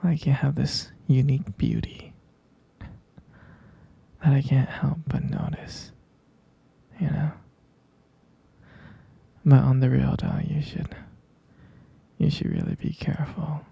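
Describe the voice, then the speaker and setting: An ASMR roleplayer speaks as a doting sweetheart in a very husky, half-whispered murmur. The voice is heavy with gravelly fry and lingers slowly over hesitant, affectionate phrases. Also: mid-range voice, dark tone. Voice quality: breathy. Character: tender, doting vampire lover soothing a sweetheart. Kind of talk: intimate ASMR romantic roleplay.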